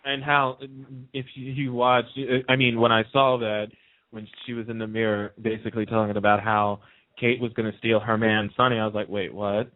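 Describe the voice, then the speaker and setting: A man's voice: fairly low pitch, level tone, a little fast, no echo. A man chatting casually and emphatically as a guest on a radio show, repeating himself to make his point. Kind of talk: casual soap opera discussion. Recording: narrow phone-like line.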